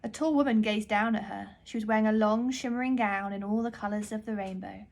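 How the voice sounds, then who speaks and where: A woman author reading her children's book aloud in an expressive storytelling style. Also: medium pitch, a little fast, creaky.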